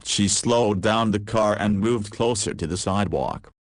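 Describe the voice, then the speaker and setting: A man's voice: fairly low pitch, measured pace, no echo, clean studio sound. A man reading scripted story narration as the narrator.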